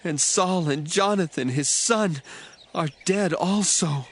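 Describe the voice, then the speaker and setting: A man's voice: high voice, slow pace. An actor playing a young male Amalekite messenger who fled a battle, reporting in a breathless, pleading way in a dramatized scripture reading. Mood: urgent, pleading. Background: faint background music.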